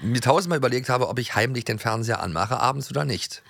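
Man, podcast co-host, playful casual chat, very varied intonation, vocal fry, dry studio. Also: mid-range voice, animated, fast, a bit bright, no echo. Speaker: co-host of an audio drama magazine podcast. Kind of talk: casual chat between co-hosts.

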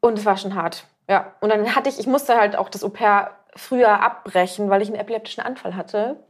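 A woman's voice: mid-range voice, brisk. A woman around thirty, a podcast guest who tells her story in a casual, rambling way full of fillers and restarts.

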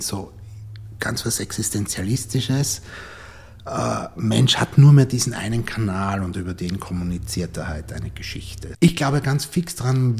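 A man's voice: on the low side, a little mellow, breathy edge. An Austrian man in a reflective podcast conversation, feeling his way through thoughts and repeating words as he goes. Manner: hesitant, repeats words while formulating thoughts. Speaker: podcast host and writer.

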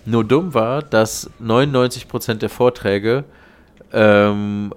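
A man with a German accent and a blocked nose, chatting and telling anecdotes casually as a podcast co-host, colloquial and quick to swear. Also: lowish voice, clear, resonant tone. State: blocked nose from an oncoming cold.

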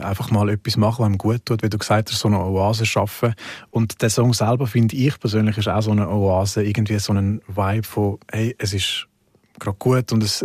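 Low husky Swiss male co-host, Swiss German accent, relaxed chat, dry sound. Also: no room sound. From Switzerland. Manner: relaxed, lots of agreeing murmurs. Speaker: music podcast co-host. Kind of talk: casual radio chat.

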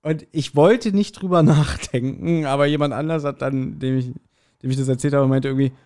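A man chatting casually as a podcast host, telling anecdotes in an animated way and acting out quotes. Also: mid-range voice, fairly quick. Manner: animated retelling with acted-out quotes. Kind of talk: casual chat, anecdotal storytelling.

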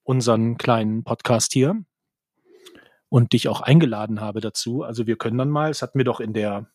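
Husky-voiced man chatting on a podcast. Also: medium pitch, a little fast, a little mellow, no echo, studio quality. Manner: chatty, laughs often, hesitant fillers. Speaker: podcast co-host. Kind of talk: casual chat. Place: hotel room. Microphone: headphones and desk mic.

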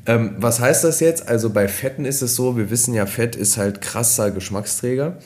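A man, a nutrition expert co-hosting a podcast, explaining casually with many fillers, slightly hoarse from a cold. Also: medium pitch, moderate pace, darkish tone.

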